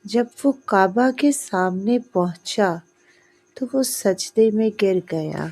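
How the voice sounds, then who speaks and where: A woman tells a scripted moral tale with a dark, soft-edged voice. It is husky, with some breath in it. Her delivery is devout and earnest, and she lets each phrase settle before the next. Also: medium pitch, lively intonation. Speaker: storyteller. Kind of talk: scripted moral story narration.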